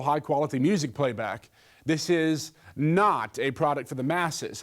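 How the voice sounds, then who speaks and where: A man presenting a scripted gadget review, with a joking streak in his delivery. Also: medium pitch.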